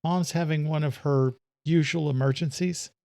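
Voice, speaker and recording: A man voicing a young female police officer, a guarded and weary daughter, speaking softly in an audio thriller. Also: a little high, fairly even intonation, normal speed, creaky, no echo, clean studio sound, voice pushed up. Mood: resigned.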